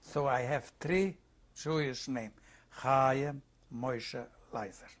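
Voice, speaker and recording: Slow-speaking elderly man with Hungarian accent. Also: mid-range voice. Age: 80s. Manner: halting, searching for words. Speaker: interviewee. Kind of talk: oral history testimony.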